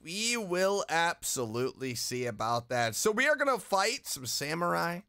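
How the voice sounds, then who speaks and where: Animated man doing gameplay commentary. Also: on the high side, normal speed, smooth, no room sound. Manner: enthusiastic, exclaiming reactions. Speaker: gamer, let's play host. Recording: game music behind.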